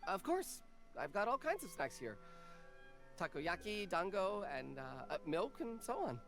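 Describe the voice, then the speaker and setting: A woman's voice: fairly low pitch, very animated, measured pace, soft background music. A woman playing a humble female snack vendor in a video game, earnest, deferential and easily flustered. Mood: earnest, chatty.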